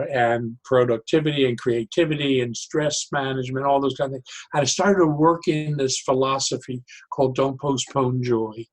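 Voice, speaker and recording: An older man speaking as an interview guest, telling stories conversationally in a reflective, halting way with pauses mid-phrase. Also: mid-range voice, poor audio. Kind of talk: conversational storytelling.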